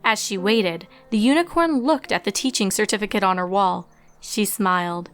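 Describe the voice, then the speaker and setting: A woman's voice: medium pitch, normal speed, faint music behind. A woman reading a story aloud as narrator, in scripted storytelling style. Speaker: fan fiction reader and narrator.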